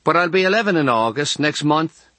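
A man reads as an earnest Irish boy of ten in a storybook. The answers are simple and matter-of-fact, pitched a little high. The recording is close and dry. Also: animated, brisk, pushed and bright, no echo. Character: earnest, plain-spoken ten-year-old Irish boy patient.

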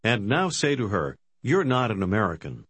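Lowish husky male course narrator. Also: wildly swooping pitch, a bit bright, no echo. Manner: clear, measured prompting. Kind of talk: scripted instructional narration. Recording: dry studio.

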